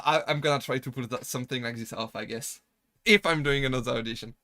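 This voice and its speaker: French guy streaming, kinda rambly w lots of restarts, highish voice. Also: bright, forceful tone, no echo, clean studio sound. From France. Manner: rambling, halting restarts, self-deprecating laughs. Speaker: VTuber streamer. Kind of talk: casual live Q&A stream.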